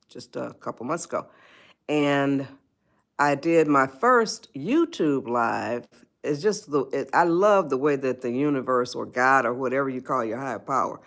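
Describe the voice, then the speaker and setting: A woman's voice: very deep voice, fairly bright tone. An 81-year-old woman, hosting a live stream and giving advice. She rambles, repeats herself and greets viewers by name. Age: 80s. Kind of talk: spontaneous livestream talk.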